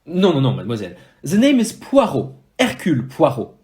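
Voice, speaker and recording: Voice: medium pitch, wildly swooping pitch, fairly quick, darkish tone. A performer playing a fussy, formal Belgian male detective in an audio play, with a French accent and precise, courteous speech. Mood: fussy, polite.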